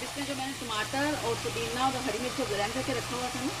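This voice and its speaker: A woman hosting a home cooking tutorial in her kitchen, talking through each step slowly with frequent hesitations. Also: fairly high pitch, fairly even intonation, very noisy. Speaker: cooking channel host.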